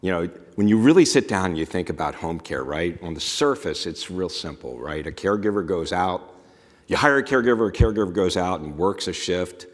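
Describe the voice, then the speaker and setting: A man's voice: low voice, animated, fairly quick. A middle-aged man, a home care business owner, speaking on a conference panel in an easygoing, self-deprecating way. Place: conference stage. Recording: long reverb of a large hall.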